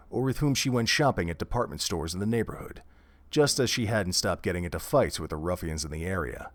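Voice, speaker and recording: A man narrating an audio drama in an expressive storybook manner. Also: deep voice. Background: faint music.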